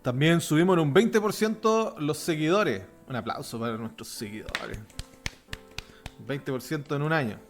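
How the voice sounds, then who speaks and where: A man with a softened Chilean accent hosting a religious podcast, chatting informally and offering blessings to listeners. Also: a little high, moderate pace, music in the background. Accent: softened Chilean Spanish. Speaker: podcast host. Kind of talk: casual religious podcast chat.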